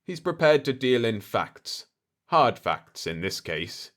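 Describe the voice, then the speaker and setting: A man's voice: medium pitch, big pitch swings, slow pace, a bit bright. A man voicing a cool, wry diplomat hero in an audiobook story, with a dry, sardonic manner. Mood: cool, calculating.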